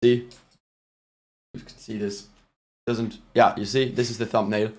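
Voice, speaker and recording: A man's voice: on the low side, flat delivery, unhurried. A man with a German accent hosting a live football reaction stream, chatting casually and reading out viewer messages with many restarts. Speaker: livestream host reacting to a match.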